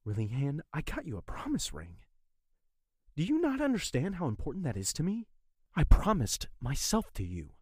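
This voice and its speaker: A woman playing an earnest, wounded young man pleading with a former love in an audio drama, husky and intense. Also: low voice, wildly swooping pitch, normal speed, a little mellow, dry recording, clean studio sound, exaggerated voice. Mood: urgent, insistent.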